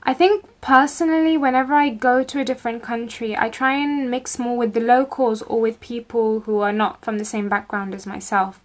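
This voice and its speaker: A young woman, recording a casual video account at home, talking in a measured way with frequent ums. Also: on the high side, fairly even intonation. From England. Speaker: contributor recording a video report. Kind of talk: casual first-person account. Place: home room with bookshelves.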